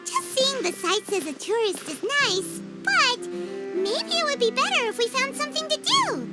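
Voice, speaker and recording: A woman voicing a tiny, excitable floating fairy companion in a video game, chirpy and talking about herself in the third person. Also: very high voice, normal speed. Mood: excited. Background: game score music.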